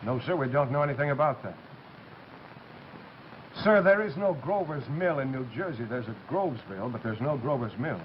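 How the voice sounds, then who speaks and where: An actor playing an exasperated state police dispatcher, curt and official, in a TV drama. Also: male voice, medium pitch, expressive, moderate pace, natural voice. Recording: muffled, noisy old TV soundtrack.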